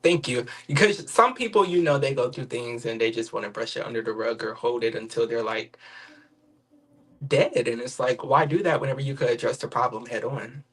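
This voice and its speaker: Young guy on a podcast, kinda husky, earnest and steady. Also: mid-range voice, a little fast. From Mississippi, USA. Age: young adult. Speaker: podcast guest. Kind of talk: sharing a personal testimony.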